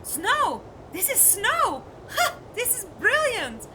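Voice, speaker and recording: Young female companion, played by an actress: high voice, hugely expressive, inquisitive, frank, audio drama. Noisy ambience. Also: slow, heavily acted. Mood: delighted. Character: curious, excitable young female space-traveller companion, plain-spoken.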